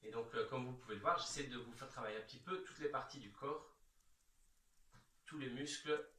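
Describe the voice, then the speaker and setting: A man in his thirties giving calm, reassuring step-by-step instructions as an exercise coach, recorded in a large bare room from several metres away. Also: medium pitch, brisk. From Belgium. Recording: echoey room, distant microphone.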